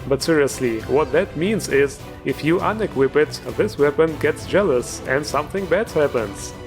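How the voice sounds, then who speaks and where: Enthusiastic man, higher-pitched, reviewing games. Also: lively intonation, moderate pace, music in the background. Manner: enthusiastic, joking. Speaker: host of a game mod review show. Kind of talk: informal video game commentary. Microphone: voice-over recorded over gameplay music.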